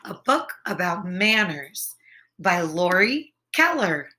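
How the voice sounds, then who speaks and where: A woman's voice: fairly low pitch, very animated, takes it slowly, bright, forceful tone. A woman in the role of children's teacher, explaining and reading aloud in simple, carefully enunciated phrases. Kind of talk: lesson and story reading for children.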